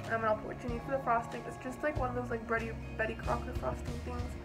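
A woman's voice: fairly high pitch, little pitch movement, rapid-fire. Chatty young woman vlogging in her kitchen. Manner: chatty and rambling, many fillers. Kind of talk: casual baking vlog. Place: home kitchen. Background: background music.

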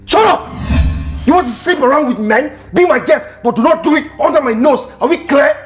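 An actor with a Nigerian accent playing a stern, possessive boss who is quick to anger, in a naturalistic screen drama. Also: male voice, mid-range voice, huge pitch swings, breathy edge, soft background music, full character voice. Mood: furious.

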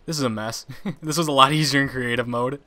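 A young man talks through his game session in a bright, forceful voice, chatty and often laughing at himself. His pitch sits in a middle range for a man. A faint noise hums under the recording. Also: brisk. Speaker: gaming channel host. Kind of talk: casual gameplay commentary.

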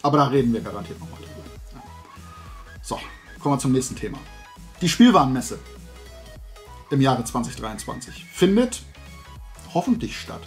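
A man's voice: medium pitch, very animated, normal speed, pauses often, soft background music. A middle-aged man hosting a show, chatting casually and colloquially to camera in a rambling way. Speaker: host of a toy and comics show. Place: indoor room lined with collectibles.

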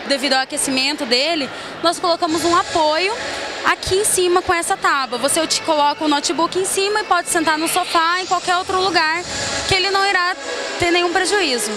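A woman's voice: extremely high pitch, brisk. A young woman with a Brazilian accent, a student explaining her work in an interview on a handheld microphone. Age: young adult. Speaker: student presenter. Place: large indoor fair. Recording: busy fair noise behind.

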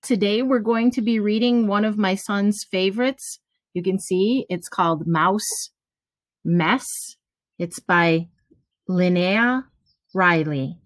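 A middle-aged woman reading a children's picture book aloud, deliberately, pausing between phrases. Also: medium pitch, no echo. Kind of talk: children's storybook read-aloud. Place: small room at home, indoors. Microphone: webcam or device at arm's length.

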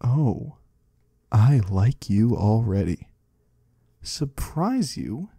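A mysterious disembodied trader from the void, played by a man, speaks slowly in a husky, breathy hush. His pitch drifts up and down with courteous curiosity, as in an intimate ASMR roleplay. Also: very animated, dry recording, clean studio sound, heavily acted. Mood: curious, pleased. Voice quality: husky, breathy, sometimes whispering. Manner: slow, hushed, gently curious. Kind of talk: soft-spoken ASMR roleplay. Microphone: close, dry microphone.

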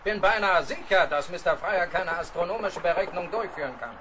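A harsh captain of a sailing ship, heard off-mic over clear background noise. His very high voice swings widely as he issues scornful, cutting commands. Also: expressive, brisk. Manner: commanding, cutting, barking orders. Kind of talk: audio drama acting. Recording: noisy, distant-sounding radio play scene.

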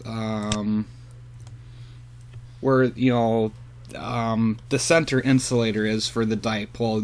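A man casually showing off his radio antenna setup, rambling with lots of fillers, filmed on a handheld camera outdoors and then at a computer. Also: fairly low pitch. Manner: rambling, hesitant with many fillers. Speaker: hobbyist vlogger. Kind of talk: casual show-and-tell.

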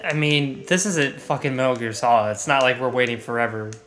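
A man's voice: medium pitch, fairly quick, fairly bright tone. A man playing a video game as co-host, chatting in a casual, offhand, sweary way. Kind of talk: casual let's play banter. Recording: game music behind the voice. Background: video game music.

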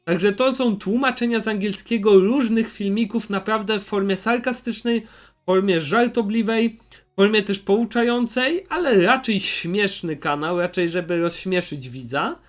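A young man with a noticeably high voice talks as a YouTuber making recommendations. His delivery is casual and unscripted. He hesitates, rambles and often circles back to repeat what he has said. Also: moderate pace. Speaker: YouTuber presenting recommended channels.

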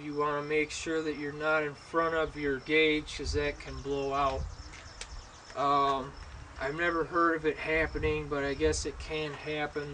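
A young man explaining welding safety and technique outdoors by a garage, pausing often with ums and restarts, recorded on a camera mic from a few steps away. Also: on the high side, pushed and bright. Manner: halting, many ums and restarts. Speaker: welding instructor. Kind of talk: casual how-to demonstration. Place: outdoors at an open garage door. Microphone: camera mic from a distance. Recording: faint outdoor insect noise. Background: crickets and insects outdoors.

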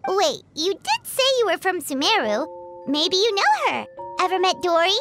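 A woman voicing a tiny, excitable, boastful fairy companion in a video game, with a squeaky childlike voice and perky manner. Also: normal speed, music in the background. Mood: puzzled. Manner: perky, refers to herself in third person.

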